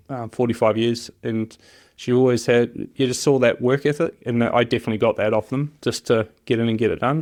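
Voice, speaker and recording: A man's voice: medium pitch, darkish tone. A man from New Zealand with a New Zealand accent, talking casually and hesitantly as an interview guest, rambling through personal stories. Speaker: interview guest, job shop machinist.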